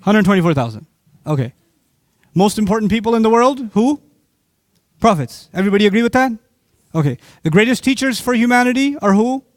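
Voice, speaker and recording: A man from Pakistan giving an animated religious lecture, asking the audience rhetorical questions. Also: sits high, fairly quick, long pauses, dry recording, clean studio sound. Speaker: Islamic speaker.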